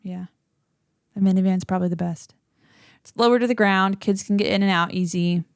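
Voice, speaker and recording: A woman's voice: mid-range voice, steady tone, a little fast, creaky, clean tone, no room sound, clean studio sound. A woman in her thirties, a podcast guest, chatting casually and laughing often. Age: 30s. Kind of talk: casual chat.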